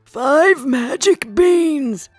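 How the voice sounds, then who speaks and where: A mysterious old man from a storybook, as a woman narrator puts him on. He speaks very slowly, drawing out his offer like a practised trader, with lively swings in pitch and a dry sound. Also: no room sound, faint music behind, heavily acted. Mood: persuasive. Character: mysterious old man trading magic beans.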